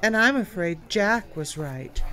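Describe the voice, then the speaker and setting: An actress playing a clever young woman who calmly explains a solution in an audio drama. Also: mid-range voice, a little slow, soft background music, rough recording. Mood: confident. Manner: calm, quietly sure of herself. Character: Sally Andrews, sharp young woman who solves the case.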